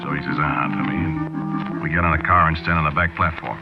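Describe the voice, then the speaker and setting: A streetwise American down on his luck, narrating a radio drama with weary, uneasy plainness. His very low voice speaks in rough slang on a dull old recording with music behind it. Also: steady tone, a little fast. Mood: grim, uneasy. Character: uneasy, streetwise Chicago hard-luck man recounting a crime. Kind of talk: 1940s radio acting, first-person narration.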